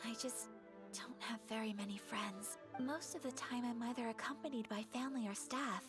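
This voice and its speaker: A gentle young lady of noble birth acted by a woman speaks in a fairly high, soft voice. The phrasing is courteous and reserved, in video game style. Music is clearly audible behind. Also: moderate pace, close to an everyday voice. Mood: shy, sincere. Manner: polite, formal, soft-spoken. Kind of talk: animated game character acting.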